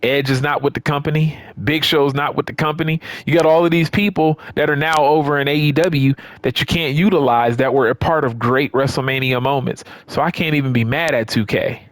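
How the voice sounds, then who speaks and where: A man with a slightly high voice gives casual, unscripted gaming commentary in a close, dry recording. He is emphatic, repeats phrases to drive them home and keeps checking in with his audience as he goes. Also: fairly even intonation, a little fast, few pauses, no echo. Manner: conversational, checks in with listeners. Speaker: gaming YouTuber.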